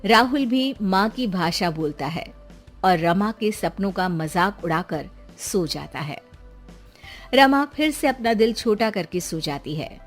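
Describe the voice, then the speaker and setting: A woman's voice: mid-range voice, lively intonation, slow, soft background music. A woman reading scripted narration as the storyteller of a moral tale.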